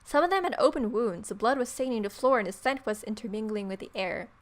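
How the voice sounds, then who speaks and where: A woman's voice: fairly high pitch, fast talker. A woman hosting a let's play, reading a visual novel aloud with playful, irreverent casual commentary.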